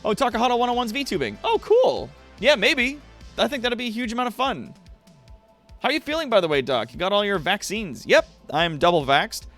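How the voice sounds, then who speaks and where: A man livestreaming in casual chat with viewers, thinking aloud in loose, halting phrases. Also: high-pitched, big pitch swings, fairly bright tone, faint music behind.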